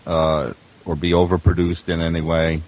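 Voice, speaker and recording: An older man chatting as a veteran audio producer, explaining things in a halting, rambling way. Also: low voice, monotone, darkish tone, highs cut off. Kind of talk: casual panel discussion of audio production.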